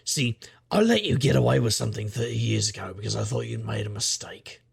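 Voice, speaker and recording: Man playing a husky, gravelly noir detective. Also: mid-range voice, fairly quick, exaggerated voice. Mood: bitter, sardonic. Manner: dry, wry, deadpan. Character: sardonic world-weary male hard-boiled private investigator. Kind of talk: noir audio drama, first-person narration and dialogue.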